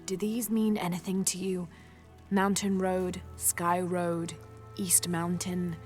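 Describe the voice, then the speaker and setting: A woman's voice: fairly low pitch, flat delivery, slow. A young woman playing an earnest, determined adventurer in a naturalistic video game drama. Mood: focused, calm. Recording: loud music behind the voice.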